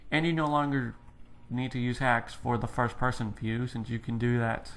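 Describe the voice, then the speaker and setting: A man's voice: medium pitch, level tone, normal speed. Young man rambling over gameplay. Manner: rambling, self-deprecating, explains as he plays. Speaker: let's play gamer. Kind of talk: casual gameplay commentary. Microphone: headset.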